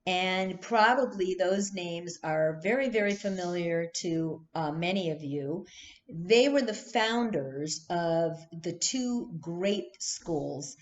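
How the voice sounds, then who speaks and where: Slow, thoughtful woman teaching a text. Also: fairly low pitch, muffled recording. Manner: slow, thoughtful, with frequent ums and restarts. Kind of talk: online text study lesson.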